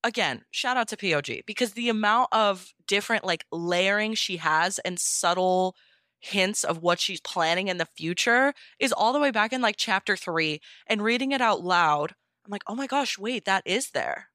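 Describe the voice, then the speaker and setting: A woman's voice: medium pitch, lively intonation, moderate pace, sharp tone, dry recording, studio quality. A woman speaking as an interview guest, answering casually and reflectively in an earnest, appreciative way. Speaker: interview guest, amateur audiobook-style fan fiction reader.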